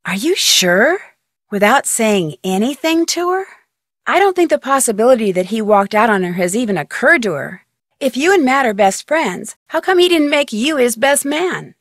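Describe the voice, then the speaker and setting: A young female sleuth from a mystery video game, curious and polite. Her voice sits on the high side and moves with animated intonation. The sound is dry and close. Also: normal speed, dry recording. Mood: curious, probing. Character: inquisitive, polite young female amateur detective.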